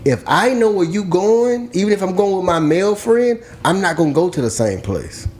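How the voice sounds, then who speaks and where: A man co-hosting a casual podcast, telling stories loudly and animatedly with plenty of swearing. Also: high voice, normal speed. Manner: animated, loud storytelling with profanity. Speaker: podcast co-host. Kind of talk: casual chat.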